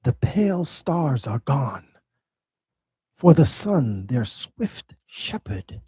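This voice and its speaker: A man reading the part of unseen spirits who greet the dawn, lyrical and husky. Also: mid-range voice, very animated, takes it slowly, darkish tone, no echo, full character voice. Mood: rapturous.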